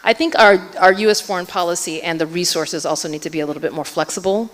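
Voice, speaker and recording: Keynote speaker, woman with a low voice, earnest, filler-heavy delivery, in a hall with long reverb. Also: fast. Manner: earnest, hedging with frequent fillers. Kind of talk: conference panel answer. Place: conference hall.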